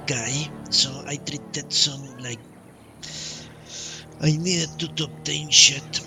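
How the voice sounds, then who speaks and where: Guy streaming a game, real slow talker, very bright punchy voice, blunt n casual. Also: on the high side, fairly even intonation. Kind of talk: casual live gameplay commentary. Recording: faint game music behind voice. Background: game music.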